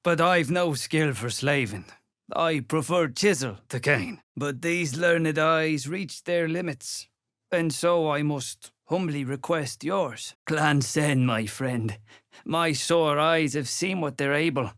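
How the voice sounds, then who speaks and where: A man's voice: on the high side, slow pace, a bit bright, dry recording, studio quality. A man voicing a weary, scholarly dwarf stonemason in a video game, courteous and curious, with an ornate, musing way of talking. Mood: courteous, intrigued. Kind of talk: video game character acting.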